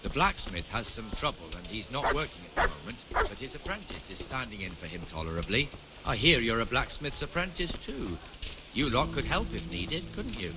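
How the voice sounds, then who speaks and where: An actor playing a gruff, talkative older town guardsman in a video game. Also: medium pitch, sing-song, fairly quick, heavy background noise, natural voice. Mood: chatty. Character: gruff, chatty older town guardsman showing newcomer around. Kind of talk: video game dialogue acting. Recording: loud music under the voice. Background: loud music.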